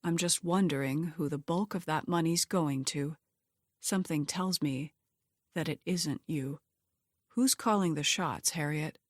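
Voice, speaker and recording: Woman as a cool, low-voiced young man. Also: unhurried, no echo, studio quality. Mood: cool, probing. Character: cool, calculating young man working undercover.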